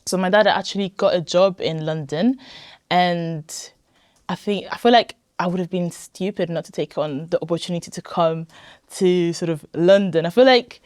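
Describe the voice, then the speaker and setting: A woman's voice: lowish voice, normal speed, darkish tone, no echo, clean studio sound. A woman in her twenties from Italy, chatting casually and emphatically as a guest in an interview. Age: 20s. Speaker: podcast guest, weight loss programme member.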